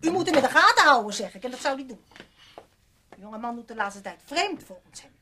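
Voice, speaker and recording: A gossiping housekeeper in a radio play. Her voice is high and scolding, full of self-righteous opinion. The recording is slightly noisy. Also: very animated, brisk. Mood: indignant. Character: bossy, indignant gossiping housekeeper, mother of three grown children. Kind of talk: Dutch radio play acting.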